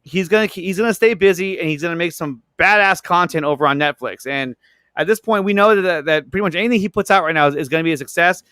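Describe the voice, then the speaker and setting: A man's voice: high-pitched, fast talker, sharp tone, clean tone, no room sound, studio quality. A man in a casual streamed panel discussion, repeating his phrases for emphasis.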